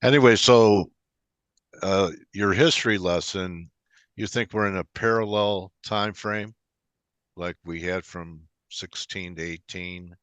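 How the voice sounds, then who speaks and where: A man's voice: low-pitched, fairly even intonation, measured pace, a bit bright, clear, resonant tone, no room sound. A man hosting an interview in a casual, chatty style. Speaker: interviewer, host. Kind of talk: casual chat.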